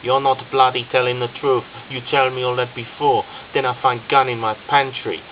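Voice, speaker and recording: A man's voice: fairly low pitch, expressive, unhurried. Brief: scolding older landlady in broken English, voiced by a male narrator. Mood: angry. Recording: slightly dull with faint hiss.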